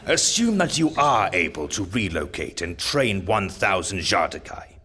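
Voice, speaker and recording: An actor playing a wary, challenging male military commander with a husky voice in an audio drama. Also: low voice, sing-song, unhurried, bright, forceful tone, heavily acted. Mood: wary, probing. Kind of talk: full-cast audio drama speech.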